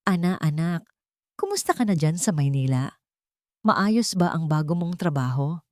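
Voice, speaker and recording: A woman's voice: low voice, very animated, moderate pace, a little mellow, dry recording, clean studio sound. A woman with a Filipino accent voicing a caring, worried older provincial aunt in an audio drama, concerned and motherly.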